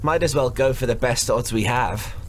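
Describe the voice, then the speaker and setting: An actor playing a resigned traveler in a fantasy audio drama. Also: male voice, medium pitch, normal speed, a little hiss or hum, lightly acted.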